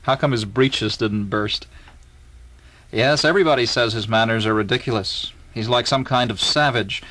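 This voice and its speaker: A man's voice: medium pitch, normal speed, fairly bright tone, close to an everyday voice. A man reading the part of a skeptical, grumbling army major, blunt and sardonic, in an informal dialogue reading.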